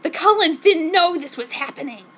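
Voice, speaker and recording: A woman's voice: very high-pitched, animated, moderate pace, fairly bright tone. A woman giving an exaggerated, mock-serious dramatic reading of a story as an amateur fanfiction reader. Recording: noticeable background noise.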